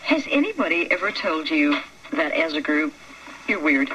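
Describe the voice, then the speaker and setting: A woman's voice: deep voice, very animated, normal speed, a bit bright. A woman hosting a live radio show, presenting and interviewing guests in a studio. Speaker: radio host. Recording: dull lo-fi radio, faint noise.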